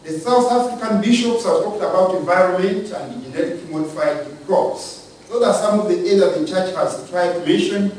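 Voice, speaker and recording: High-pitched man from Uganda, echoey hall. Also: a little mellow, slight background noise. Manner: measured, with halting restarts. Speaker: speaker on church and state. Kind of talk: lecture-style talk. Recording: echoey room from far off.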